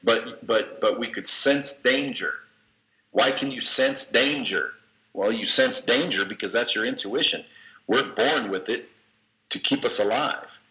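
A man's voice: mid-range voice, a little slow, pushed and bright. A man as an interview guest, giving blunt, coaching advice in a folksy way and cursing casually. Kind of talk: motivational advice in conversation. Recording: echoey room.

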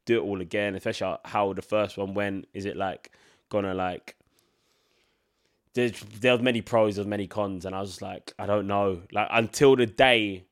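A man's voice: lowish voice, fairly even intonation, moderate pace. Twenty-something guy with a blocked nose from a cold, rambling through his solo podcast, says like a lot. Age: 20s. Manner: casual, rambling, many filler likes. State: congested from a cold. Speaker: podcast host.

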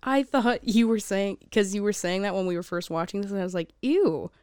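This is a woman co-hosting a chatty podcast, her voice a little raspy from a cold yet smooth and clear underneath. She laughs and jokes a lot, recorded close and dry with no room sound. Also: medium pitch, brisk, darkish tone, no room sound. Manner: laughs often, jokey. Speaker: podcast co-host. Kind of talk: casual chat.

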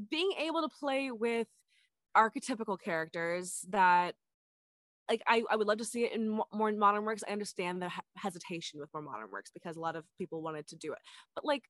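A stage actor talks casually on a podcast, animated and quick to laugh, with the voice leaping high when excited. The sound is dry and close, with no room behind it. Mid-pitched for a woman's voice. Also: no echo. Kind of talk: casual chat.

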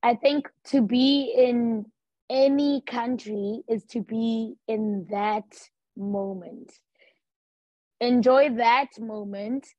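A woman from Africa in a casual conversation, speaking in a slow, thoughtful, laid-back way. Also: a little high, fairly even intonation, no room sound. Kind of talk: casual chat. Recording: dull dry lo-fi sound.

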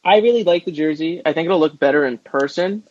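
A man's voice: fairly high pitch, brisk, a little mellow, no echo. A man co-hosting a casual podcast, easygoing and a little hesitant. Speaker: podcast co-host. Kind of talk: casual chat. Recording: slightly dull dry recording.

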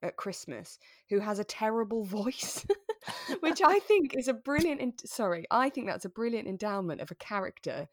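A woman co-hosting a podcast, talking in a relaxed, chatty, giggly way. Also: fairly high pitch, moderate pace, dry recording, clean studio sound. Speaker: podcast co-host. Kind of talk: relaxed conversational podcast chat.

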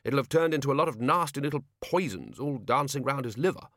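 A man voicing a loud, pompous older head wizard who blusters in a high, exclaiming voice, in a storybook reading. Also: animated, fast talker, no room sound, clean studio sound. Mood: blustering.

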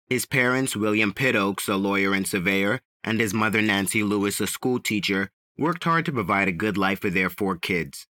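Guy hosting a true crime podcast, lowish voice, reading a script in measured phrases, dry close mic. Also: pushed and bright, no echo. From the Bahamas. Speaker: podcast host.

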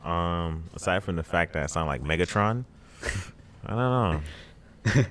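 A 21-year-old man with a deep, low voice sits in as a guest on a casual podcast in his apartment living room. He rambles through stories with a dry, joking edge. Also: lively intonation, a little slow, fairly bright tone, a little hiss or hum.

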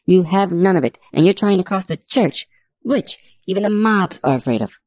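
A woman voicing a brisk, no-nonsense, dismissive female judge in an audiobook. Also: lowish voice, lively intonation, a little mellow, no room sound, clean studio sound, pitched above the performer's own voice. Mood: firm warning.

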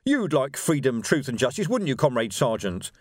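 A man's voice: sits high, lively intonation, fairly quick, no echo, pitched above the performer's own voice. A man voicing a prim, idealistic revolutionary in an audiobook, preachy and insistent. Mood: encouraging, prim. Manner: preachy, insistent, easily offended. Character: earnest, prim, idealistic male revolutionary organiser.

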